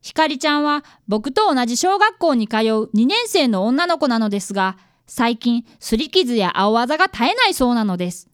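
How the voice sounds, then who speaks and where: A woman's voice: on the high side, big pitch swings, moderate pace, hardly any pauses, clear, resonant tone, dry recording, studio quality. A woman voicing an earnest fifth-grade boy who is an apprentice detective and narrates a radio drama. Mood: earnest narrating. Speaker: narrator and actor.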